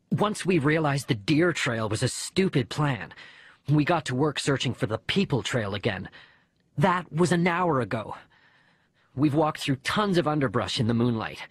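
A man reading a first-person children's story as an audiobook narrator, with a husky voice. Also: on the high side, dry recording. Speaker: audiobook reader.

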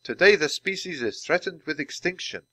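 A man lifts his voice to play a composed young woman scientist addressing a group. She speaks formally and carefully, in a clear, bright, storybook tone. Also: fairly high pitch, animated, no echo, pitched above the performer's own voice. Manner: formal, measured, instructive.

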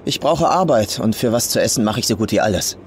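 A man playing a guarded drifter, lively pitch. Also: quick pace, slight background noise, lightly acted. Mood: eager, casual. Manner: casual, clipped colloquial speech. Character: drifting male hitchhiker, no longer young, guarded.

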